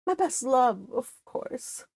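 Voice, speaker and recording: Woman voicing a high, haughty, bored Regency society lady. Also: very animated, takes it slowly, vocal fry, darkish tone, breathy edge, no room sound, exaggerated voice. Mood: catty disdain. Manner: haughty, dismissive, gossipy. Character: vain, bored, disdainful young society lady in Regency novel.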